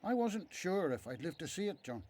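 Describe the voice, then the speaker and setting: A man plays an aged father in a biblical radio play. His voice sits a little high and moves expressively, with a measured, reassuring manner. He is devout, candid and kind. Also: sing-song, a little mellow. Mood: gentle, frank. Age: older. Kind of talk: radio Bible drama acting.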